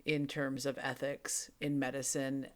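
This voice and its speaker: Woman podcaster doing a casual audio journal thing, thinking out loud about a book. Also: extremely low pitch, little pitch movement, a little slow. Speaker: book club podcast co-host. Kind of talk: casual spoken personal journal.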